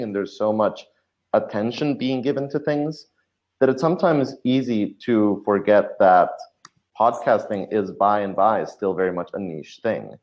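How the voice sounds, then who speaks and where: A man giving thoughtful, explanatory advice as a guest in a conversational podcast interview. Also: lowish voice. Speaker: podcast guest, audio drama writer. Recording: dull lo-fi audio.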